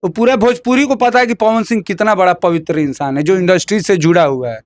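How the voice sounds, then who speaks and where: A man's voice: sits high, a little fast. A man with a Bhojpuri accent giving heated opinions in an interview, emphatic and combative, repeating phrases and firing rhetorical questions.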